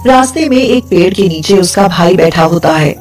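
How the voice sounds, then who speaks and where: A woman's voice: fairly low pitch, animated, moderate pace, darkish tone, faint music behind. A woman narrating a scripted folk tale as a storyteller.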